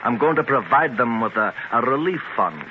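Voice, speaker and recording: An old radio drama, dull in tone and heavy with noise. A man plays an aging Scottish magnate with a mid-pitched voice. He is resolute and genial, declaiming his ideals in plain, homely words. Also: animated, normal speed, lightly acted. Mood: earnest, idealistic. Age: older. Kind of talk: 1930s radio drama acting. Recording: muffled, noisy 1930s radio transcription.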